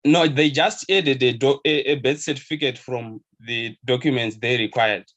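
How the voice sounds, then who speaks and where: An earnest young man from Zimbabwe talks on a video call with a mid-range voice. He speaks hesitantly, restarting his words and laughing softly now and then. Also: medium pitch, moderate pace, muffled recording. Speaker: webinar attendee, pharmacy intern. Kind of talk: casual video call conversation.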